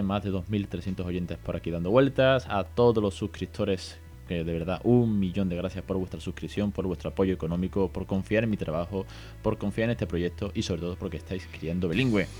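A man hosting an educational podcast, chatty and relaxed, often asking his listeners questions. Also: sits low, brisk, faint music behind. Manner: chatty, many fillers, asks listeners questions. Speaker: podcast host. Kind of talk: casual educational podcast talk.

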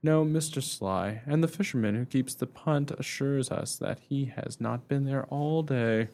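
A man playing a pompous, smooth-talking captain in a comic period radio play, with flowery theatrical delivery. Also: medium pitch, unhurried, a little mellow, close to an everyday voice. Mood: smooth excuses.

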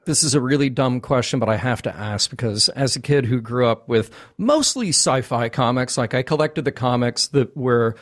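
A man with a medium-pitched voice hosts a casual live show. He talks informally and jokes around playfully with his guests. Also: animated, normal speed, few pauses. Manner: joking, playful. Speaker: podcast host.

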